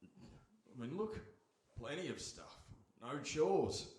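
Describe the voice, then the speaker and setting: A man's voice: medium pitch, very animated, takes it slowly, darkish tone. An actor playing a reluctant, henpecked fisherman with a groaning, hesitant manner in a live radio play. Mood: groggy, grumbling. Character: reluctant, put-upon henpecked fisherman husband. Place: stage before a live audience in a hall. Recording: echoey hall, distant microphone.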